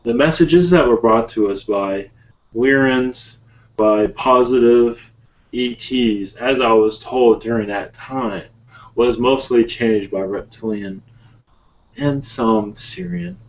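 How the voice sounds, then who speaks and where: An ancient otherworldly guide channeled by a man speaks slowly and solemnly in broken, measured phrases. The delivery is calm and halting, and the sound is dull and lo-fi, like a web call. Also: medium pitch, close to an everyday voice. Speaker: channeler leading a webinar session. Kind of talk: slow improvised channeled speech. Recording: muffled lo-fi webinar call audio.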